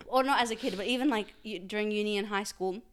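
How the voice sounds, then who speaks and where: A woman in her twenties, born in Pakistan with Urdu as her first language, co-hosting a casual podcast chat with lots of laughter. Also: medium pitch. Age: 20s. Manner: rapid, animated, laughing often. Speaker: podcast co-host.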